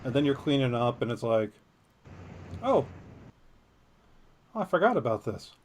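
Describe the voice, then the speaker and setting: A man reads a story out loud for his podcast partner, stopping often for joking, easily sidetracked asides. His voice sits at an ordinary middle pitch. A faint hum of room ambience runs underneath. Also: very animated, a little slow. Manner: jokey, easily sidetracked, self-deprecating. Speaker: podcast co-host. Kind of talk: reading a news story aloud with casual asides.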